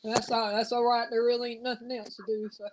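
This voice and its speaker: A woman's voice: fairly high pitch, little pitch movement, quick pace, crowd noise. A woman in casual chat who repeats herself and restarts her sentences often. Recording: noisy line with clicks.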